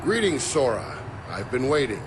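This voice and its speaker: A man's voice: medium pitch, sing-song, normal speed, noisy background, natural voice. A man playing a cold, calculating computer program villain in a video game, clipped, formal and menacing. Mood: cool, smug. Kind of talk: video game cutscene voice acting. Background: game music.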